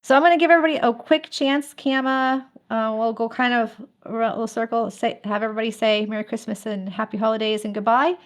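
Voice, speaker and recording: A woman's voice: fairly high pitch, fairly even intonation, fast, few pauses. A woman hosting a fan event, announcing casually in a chatty way and laughing often. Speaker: host of an online fan event.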